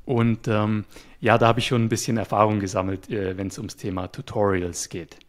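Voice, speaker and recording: A man as an interview guest, calmly explaining his work with a few fillers. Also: lowish voice, moderate pace. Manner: calm, explanatory, some fillers. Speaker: guest, web developer. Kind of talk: casual interview chat.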